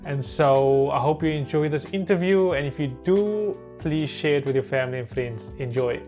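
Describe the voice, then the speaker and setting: Guy hosting a podcast, slightly high voice, bit muffled, guitar music behind. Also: normal speed, darkish tone. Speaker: podcast host, interviewer. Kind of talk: casual podcast introduction. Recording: dull lo-fi sound with music behind.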